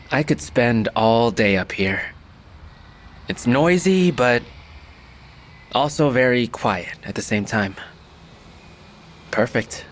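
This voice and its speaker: An easygoing young man in an audio drama. His voice is mid-pitched and natural. He comes across as a loyal, encouraging friend. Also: fairly bright tone, a little hiss or hum. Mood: relaxed, admiring.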